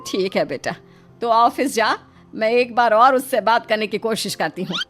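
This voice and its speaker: Woman acting high-voiced fretful mother-in-law. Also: animated, moderate pace, a bit bright, faint music behind. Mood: worried. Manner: exasperated, motherly. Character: fretful, affectionate middle-aged mother-in-law. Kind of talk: animated moral story acting.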